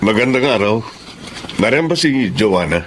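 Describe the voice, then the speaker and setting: A man's voice: on the low side, big pitch swings, moderate pace, over music. A man acting an obsessive, wounded male rival in a Filipino radio drama, intense and melodramatic. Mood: menacing.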